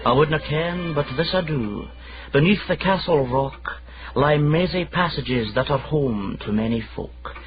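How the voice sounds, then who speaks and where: An actor playing a Scottish poet reciting dramatic, brooding verse in a radio drama. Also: male voice, fairly high pitch, measured pace, lightly acted. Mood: brooding, declaiming. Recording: muffled radio recording under music.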